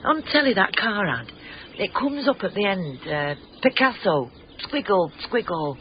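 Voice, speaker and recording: Lively, earthy Yorkshire wife. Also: female voice, mid-range voice, a little slow. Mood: curious, wistful. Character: earthy, curious, plain-spoken working-class English wife. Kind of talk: naturalistic radio drama acting. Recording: dull radio recording with some background noise.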